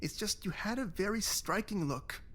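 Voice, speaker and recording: A man's voice: high voice, expressive, moderate pace, fairly bright tone. An actor playing a creepy, falsely genteel male photography teacher in a visual novel, speaking in a theatrical, overly polite way. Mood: smooth, coaxing. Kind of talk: scripted visual novel voice acting.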